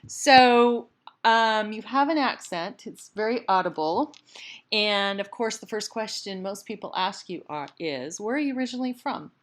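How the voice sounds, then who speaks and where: A woman hosting a conversational interview, speaking politely and encouragingly, with pauses as she leads into her questions. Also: mid-range voice, animated. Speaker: interview host.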